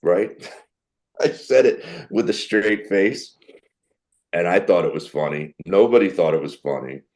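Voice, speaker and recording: A middle-aged man with a deep voice, a comedian telling animated stories in a podcast interview. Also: measured pace. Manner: animated storyteller who acts out quoted people. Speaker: guest comedian.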